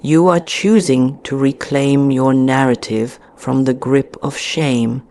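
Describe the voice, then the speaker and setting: A woman narrating a scripted guided meditation in a deliberate, soothing manner with frequent pauses. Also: a bass voice, steady tone, a little mellow.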